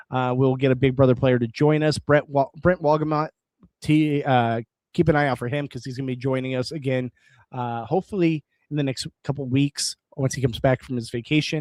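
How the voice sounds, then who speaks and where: A man hosting a late-night live stream, chatting casually with viewers, reading their comments aloud and rambling on with restarts, his voice going hoarse. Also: medium pitch, darkish tone, dry recording. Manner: rambling, reads chat aloud, restarts words.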